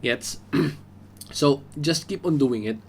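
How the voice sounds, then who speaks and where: Filipino guy doing a casual how-to vlog, creaky voice, bit of background noise. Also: fairly high pitch, lively intonation, takes it slowly. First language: Tagalog. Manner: informal, step-by-step explaining. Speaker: beatbox tutorial host. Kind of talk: casual instructional vlog in Taglish.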